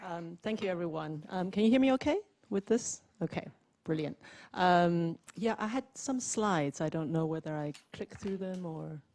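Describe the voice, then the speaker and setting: A woman's voice: on the low side, expressive, normal speed, few pauses, a little mellow, a little hiss or hum. A woman in her forties, from Zimbabwe, giving a chatty, self-deprecating talk on a panel with many fillers. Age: 40s. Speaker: panel speaker. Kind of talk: informal presentation.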